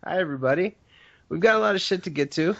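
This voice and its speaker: A congested man co-hosting a casual gaming podcast, rambling and joking with plenty of fillers. His voice sits a little high, and the audio is dull and lo-fi, like a stream recording. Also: expressive, fast talker, vocal fry. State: congested from allergies. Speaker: podcast co-host.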